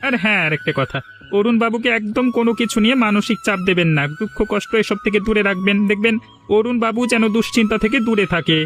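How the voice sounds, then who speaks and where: Male actor as village doctor, cartoon, high pitch, fast pace, courteous, advising, faint music. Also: a bit bright. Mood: advising, reassuring. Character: reassuring, firm village doctor advising a family. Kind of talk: cartoon dialogue acting.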